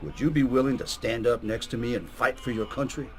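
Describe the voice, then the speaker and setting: A man playing a gruff, patriotic, hot-tempered coach who speaks like a recruiter in a video game. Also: medium pitch, fairly even intonation, brisk, natural voice. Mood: earnest, rousing. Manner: rousing, commanding, profane.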